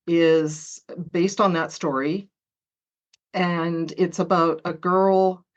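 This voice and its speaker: A woman with a very low-pitched voice talks casually as an interview guest, chatty and quick to laugh, with plenty of ums along the way. The recording sounds dull and lo-fi. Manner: chatty, laughs often, hesitant fillers. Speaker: author guest. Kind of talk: conversational interview.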